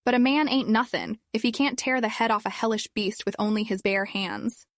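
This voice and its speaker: A woman voicing a blunt, gruff older male farmer imp. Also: a little high, normal speed, no echo, clean studio sound, lightly acted.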